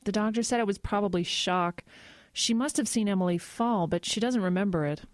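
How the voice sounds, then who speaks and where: A woman playing a composed, quietly sinister character in a horror audio drama, speaking calmly and reassuringly. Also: mid-range voice, fairly quick, no echo, clean studio sound, lightly acted.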